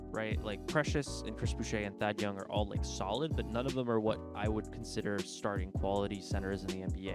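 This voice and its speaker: A man with a cold and a stuffy, congested voice, rambling informally as he hosts a casual basketball chat. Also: lowish voice. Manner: rambling and informal, thinking aloud. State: congested from a cold, sore throat. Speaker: basketball video host. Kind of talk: casual sports commentary.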